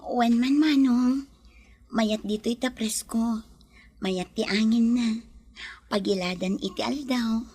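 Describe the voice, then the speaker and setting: Husky, ailing city lady in radio drama. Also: fairly high pitch, steady tone, slow, pauses often, a little mellow. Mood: calm, friendly. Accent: Ilocano. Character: ailing city businesswoman resting in the countryside, gentle, trusting. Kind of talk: Ilocano radio drama acting.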